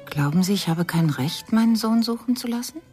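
A woman's voice: mid-range voice, animated, brisk, darkish tone, faint music behind, lightly acted. A woman acting in a German radio crime drama, playing the sorrowful, reflective but hopeful mother of a missing son. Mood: searching, hopeful.

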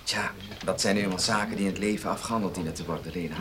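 Actor as a low-voiced, matter-of-fact man. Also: steady tone, rapid-fire, noisy background, natural voice. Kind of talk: Dutch radio play acting.

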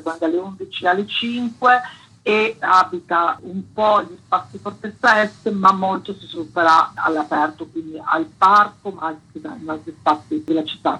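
Woman with a bright, husky voice. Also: mid-range voice, poor audio. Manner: explanatory, listing details. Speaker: guest presenting an arts project. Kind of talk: informal radio interview, explaining an event programme. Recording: radio interview.

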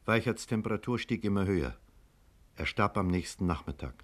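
An actor playing a grave, cautious physician in a 1950s radio drama, speaking in measured, deliberate words. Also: male voice, fairly low pitch, animated. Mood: somber narration. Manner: measured, deliberate, careful wording. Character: grave, cautious male physician narrating a disaster. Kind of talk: 1950s German radio drama acting and narration. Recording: 1950s radio play with music.

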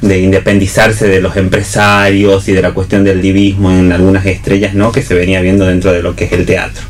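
A man's voice: low-pitched, monotone, a little fast, slight background noise. A man with an Argentine Spanish accent explaining things off the cuff as a theatre actor on radio, discursive and often checking he is followed. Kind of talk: unscripted explanatory talk.

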